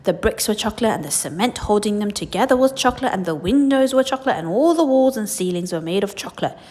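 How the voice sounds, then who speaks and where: A woman's voice: medium pitch, expressive, fast, a little mellow, big-room sound, close to an everyday voice. A woman voicing a mysterious old grandfather who tells secrets with dramatic emphasis in a children's storybook. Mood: mysterious, emphatic. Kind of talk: children's storybook read-aloud.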